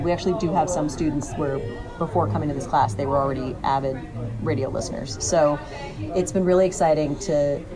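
A woman teacher talking casually and animatedly in an interview, telling anecdotes. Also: low voice. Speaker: high school radio teacher.

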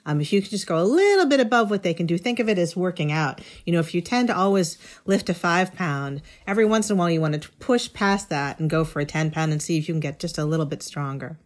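A woman's voice: on the low side, animated, quick pace, few pauses. A middle-aged woman speaking as an interview guest, explaining things in an articulate, thoughtful, conversational way. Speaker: interview guest, education writer.

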